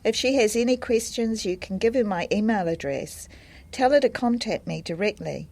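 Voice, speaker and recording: A woman acting a helpful, friendly adviser in a scripted listening-test dialogue, speaking in a measured, explanatory way. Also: mid-range voice, lively intonation, creaky, darkish tone. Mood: helpful, calm.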